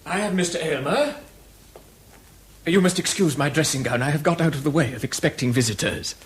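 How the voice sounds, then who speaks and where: A man with a British accent playing a haunted, eccentric gentleman recluse in a radio drama, speaking formally and intensely in a husky voice. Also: fairly high pitch, fairly quick. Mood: wary.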